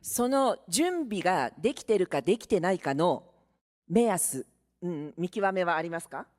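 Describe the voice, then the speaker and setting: A woman from Japan asks earnest questions from the audience. She speaks quickly, her pitch rising and falling a great deal. The voice carries a long hall reverb. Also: expressive, darkish tone. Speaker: audience member asking questions. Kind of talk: question from the floor.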